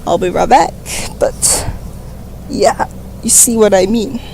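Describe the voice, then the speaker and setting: A slightly hoarse woman reading a political text aloud on a stream and breaking into rambling, profane, emphatic commentary into a desk microphone. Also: mid-range voice, expressive, takes it slowly, dark tone, slight background noise, rough recording. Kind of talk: casual read-aloud with commentary.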